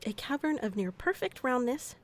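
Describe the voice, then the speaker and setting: A woman acting a boisterous, apologetic female researcher in an amateur audio drama, halting and flustered as she fights back sneezes. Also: high voice, sing-song, a little hiss or hum. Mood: careful reading.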